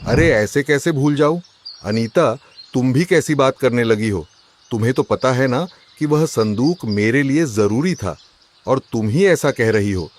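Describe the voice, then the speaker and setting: A man playing an agitated, suspicious rich merchant husband in a cartoon, loud and complaining. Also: medium pitch, expressive, a little slow. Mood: indignant. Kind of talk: Hindi cartoon story voice acting.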